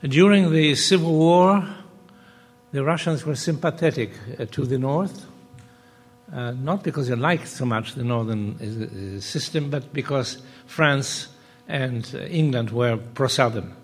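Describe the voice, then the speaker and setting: A man's voice: mid-range voice, slightly rough. Older Polish-born man lecturing in a hall. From Poland. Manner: measured, with frequent pauses and restarts. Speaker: panel speaker. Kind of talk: academic lecture. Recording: reverberant hall.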